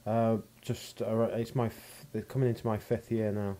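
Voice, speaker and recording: Dry self-mocking English man, low voice. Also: flat delivery, normal speed, mellow. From England. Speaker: stand-up comedian guest. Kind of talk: casual podcast chat.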